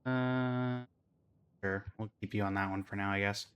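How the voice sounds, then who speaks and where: A man's voice: on the low side, steady tone, moderate pace, muffled recording. A man giving casual, offhand commentary as he plays a game, thinking aloud and reading dialogue. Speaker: gaming reaction streamer. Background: game music.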